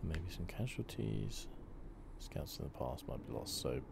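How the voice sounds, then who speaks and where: Male gaming host, hushed ASMR commentary. Rock-bottom pitch, relaxed and chatty, clear game noise behind. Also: measured pace. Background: video game ambience.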